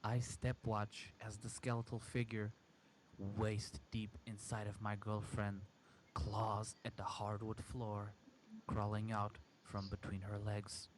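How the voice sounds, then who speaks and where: A man reading a first-person horror story aloud in a halting, dramatic way. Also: fairly low pitch, monotone, slight background noise, rough recording. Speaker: amateur story reader.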